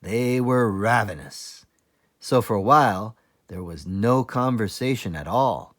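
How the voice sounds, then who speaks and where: A man reading a children's book aloud as a teacher librarian, explaining things deliberately for young listeners. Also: lowish voice, a bit bright. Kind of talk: children's storybook read-aloud.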